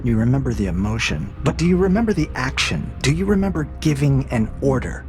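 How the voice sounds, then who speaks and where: An actor playing a cold, calculating interrogator AI in an audio drama, with a measured, probing and quietly menacing manner. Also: male voice, mid-range voice, very animated, faint music behind, close to an everyday voice. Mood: coolly probing. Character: cold, calculating male interrogator AI breaking a prisoner. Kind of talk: scripted audio drama acting.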